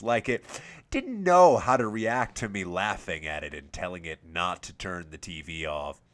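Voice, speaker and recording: A man's voice: low-pitched, a bit bright. A man reading a scripted first-person horror story as the host of a scary story series.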